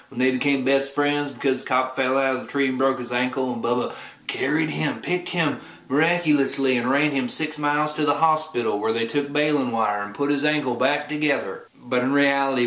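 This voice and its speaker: A man's voice: mid-range voice, bright, forceful tone. A man from Oklahoma with an Oklahoma accent, rambling and joking in a casual vlog, recorded on a camera mic. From Oklahoma, USA. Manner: joking, rambling, self-deprecating. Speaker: vlogger. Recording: roomy echo.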